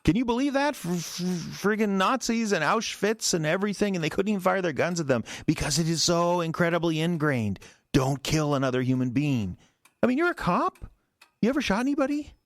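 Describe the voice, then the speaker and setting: An actor playing an agitated, defensive husband confessing to a killing in an audio drama, in a halting, stammering, rambling way. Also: male voice, sits high, lively intonation, no room sound.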